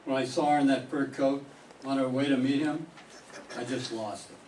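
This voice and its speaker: An older man playing a sullen, hard-drinking young male fisherman in a 1940s-style radio drama, gruff and defensive. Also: mid-range voice, fairly even intonation, normal speed, a little mellow, natural voice. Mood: desperate. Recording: roomy classroom from a distant mic, faint hiss.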